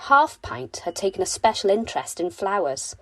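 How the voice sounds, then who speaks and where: A woman storyteller gently and expressively narrating a bedtime story for children. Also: mid-range voice, sing-song, moderate pace, no room sound.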